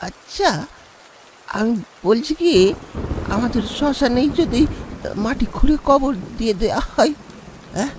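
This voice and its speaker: A woman's voice: sits high, measured pace, long pauses, a little mellow, a little hiss or hum, rough recording. A woman voicing an eager, self-assured village man in a horror cartoon, with an insistent manner. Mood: suggesting. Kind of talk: cartoon horror story acting.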